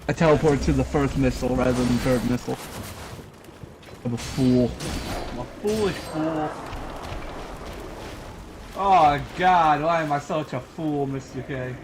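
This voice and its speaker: A man's voice: medium pitch, measured pace, long pauses, noisy background. A young man playing a game on stream, crude, playful and self-mocking, swearing freely. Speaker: guest co-player. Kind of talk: casual gaming chat.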